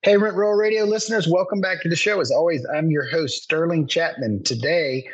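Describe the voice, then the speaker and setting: A man's voice: on the high side, brisk, over music, low-quality recording. A man hosting a podcast, chatting casually with a guest and often restarting his sentences. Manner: chatty, rambling with frequent restarts. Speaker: podcast host. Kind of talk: casual interview chat.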